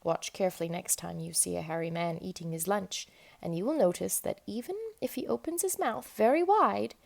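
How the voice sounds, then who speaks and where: A woman reading a children's book aloud in an expressive, emphatic storytelling manner. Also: lowish voice, a little fast, gravelly. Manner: animated, emphatic storytelling with dramatic stresses. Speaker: storybook reader.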